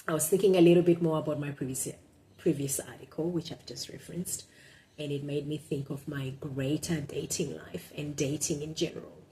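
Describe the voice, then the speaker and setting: A woman's voice: low-pitched, fairly even intonation, fairly quick, warm tone, slight background noise, poor audio. A woman from Zimbabwe with a Zimbabwean accent, whose first language is Shona, reading her own blog posts aloud in a casual vlog with a hesitant, reflective manner. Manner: hesitant, many ums and restarts, reflective.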